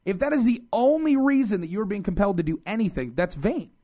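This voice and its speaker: High voiced guy on a radio show, keeps arguing his point, pretty insistent. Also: animated, quick pace, mellow. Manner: argumentative, insistent. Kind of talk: casual studio banter.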